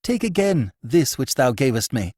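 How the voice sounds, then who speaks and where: A man's voice: mid-range voice, sing-song, brisk, a little mellow, no echo, clean studio sound, pitched above the performer's own voice. A man reading a story, voicing a shaken honest young man in earnest, old-fashioned formal speech. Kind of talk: audiobook character voice.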